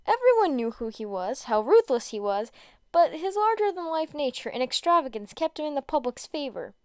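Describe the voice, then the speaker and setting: A woman reading fan fiction aloud in an amateur, expressive way, often stumbling and going back over phrases. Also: high voice, brisk, no echo, clean studio sound. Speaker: podfic reader. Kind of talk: casual introduction and expressive amateur story reading.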